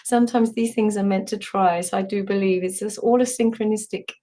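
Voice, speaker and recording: A woman with a hoarse, partly lost voice, gently guiding a live online voice and breathing workshop, meandering with restarts and asides. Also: mid-range voice, fairly even intonation, brisk. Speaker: somatic voice and movement teacher.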